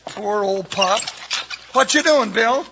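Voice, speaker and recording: An actor playing an excitable, folksy, loyal cowboy deputy with an American accent, in 1950s Western radio style. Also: male voice, slow pace. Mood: shaken, anxious. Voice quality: high-pitched. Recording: muffled, noisy old radio recording.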